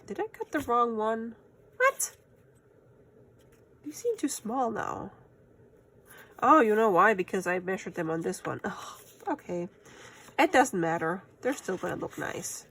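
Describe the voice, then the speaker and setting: A woman's voice: medium pitch, big pitch swings, measured pace, long pauses, fairly bright tone, slightly rough. Chatty German woman crafting at home. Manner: chatty and self-amused, laughs often, talks to herself while working. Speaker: crafting channel host. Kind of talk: casual crafting chat while working.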